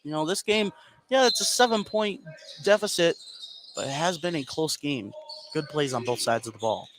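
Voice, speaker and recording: A man with a slightly high voice gives live color commentary on a basketball game. Clear gym noise surrounds him on the broadcast. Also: expressive, normal speed, fairly bright tone.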